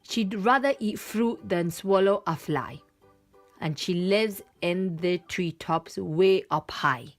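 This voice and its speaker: A woman's voice: on the low side, slow, faint music behind. A woman voicing a small lost monkey child in a children's storybook, protesting emphatically as it searches for its mum. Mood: plaintive, explaining. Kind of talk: children's picture book read-aloud.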